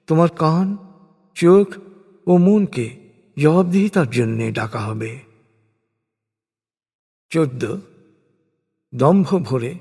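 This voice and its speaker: Higher-pitched man reciting solemnly in long reverb. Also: darkish tone. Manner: measured phrasing with deliberate pauses. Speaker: narrator of scripture translation. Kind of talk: solemn scripted recitation of translated scripture. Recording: long reverberant hall echo.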